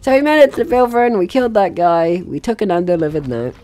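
Low-voiced, animated woman playing games. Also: brisk. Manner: rambling, playful, swears casually. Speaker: gaming video host. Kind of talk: casual let's play commentary.

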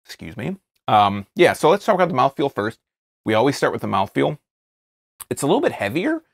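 An American man in his thirties giving a casual, unscripted review to camera, halting and rephrasing as he describes things. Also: mid-range voice, wildly swooping pitch, creaky, no echo, studio quality. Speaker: beer reviewer, video host. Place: small indoor studio, seated at a table.

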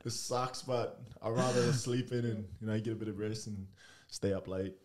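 A young man from New Zealand with a lowish voice speaks as a guest in a small studio. His talk is relaxed and full of slang, and he laughs through his stories. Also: normal speed. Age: young adult. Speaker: guest rugby league player. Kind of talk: casual interview chat. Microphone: studio mic on desk stand with headphones.

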